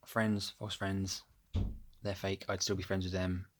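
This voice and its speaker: Low-voiced man rambling to camera. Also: little pitch movement, a little slow. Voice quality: low, deep voice. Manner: rambling, loses his thread with many ums. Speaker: vlogger. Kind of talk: casual chat to camera. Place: at home, upstairs room. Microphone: phone camera held close.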